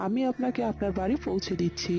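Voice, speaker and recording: A woman's voice: medium pitch, fast, gravelly, close to an everyday voice. A woman voicing a kind, earnest young man in love in a scripted cartoon. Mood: helpful, modest. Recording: loud background music. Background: music.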